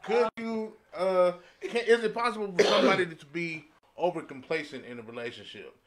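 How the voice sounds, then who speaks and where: A man's voice: high voice, a little fast, a crowd in the background. A man co-hosting a podcast, chatting casually and colloquially, with other people's voices behind him. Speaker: podcast co-host. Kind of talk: casual chat.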